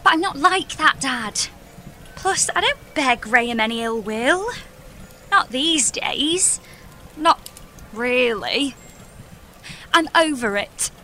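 A young woman playing a reluctant, exasperated daughter, hesitant and deadpan, in an audio comedy drama. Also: high voice, slow pace, long pauses, a little hiss or hum, exaggerated voice. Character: reluctant, exasperated heroine daughter, awkward and unsure.

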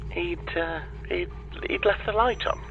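A man's voice: high-pitched, unhurried, creaky, dull audio, faint music behind. A man playing an evasive, breezily unhelpful space-agency dispatcher in a comedy audio drama, talking over a radio link. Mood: sheepish, brisk. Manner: breezy, evasive, hesitant when cornered.

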